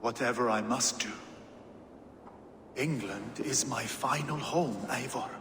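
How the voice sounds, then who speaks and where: A male actor playing a world-weary, brooding Viking warlord in a video game, with a grave, deliberate delivery. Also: mid-range voice, natural voice. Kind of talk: dramatic video game acting. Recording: echoey reverberant space, loud background noise.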